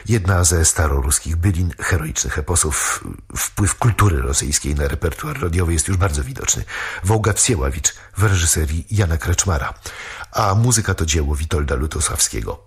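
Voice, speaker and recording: A man presenting a documentary about early radio, speaking in a calm, measured way. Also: deep voice, husky. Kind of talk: documentary narration.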